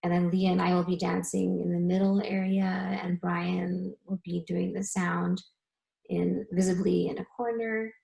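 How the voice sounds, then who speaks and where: A woman's voice: lowish voice, level tone, normal speed, vocal fry, mellow, poor audio. A woman dance performer answering a panel question, hesitant, with many ums and restarts.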